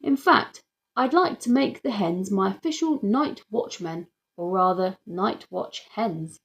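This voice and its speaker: A woman's voice: mid-range voice, unhurried, natural voice. A woman voicing a pompous, formal male neighbour who captains the neighbourhood watch, in a children's audiobook. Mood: grateful, eager.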